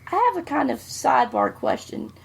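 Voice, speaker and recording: A woman's voice: sits high, wildly swooping pitch, normal speed, gravelly, very noisy. A woman, co-hosting a podcast and giving her blunt opinions in casual chat. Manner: blunt, outspoken. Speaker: podcast co-host.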